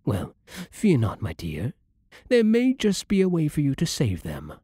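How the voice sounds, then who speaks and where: A man playing a seductive, menacing vampire lord in an ASMR roleplay, with a breathy voice and a silky, theatrical, condescending manner. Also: on the high side, wildly swooping pitch, warm tone, dry recording, studio quality, full character voice. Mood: condescending, coaxing. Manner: slow, theatrical, silky condescension with dropping murmurs. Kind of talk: ASMR roleplay, scripted monologue to the listener.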